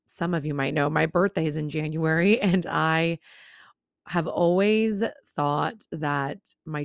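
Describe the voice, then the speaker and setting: Low, gravelly-voiced young woman chatting. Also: no room sound, studio quality. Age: 20s. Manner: chatty, candid, laughs between words. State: voice still recovering from losing it. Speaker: podcast host. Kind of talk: casual solo podcast chat. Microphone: own studio microphone, close.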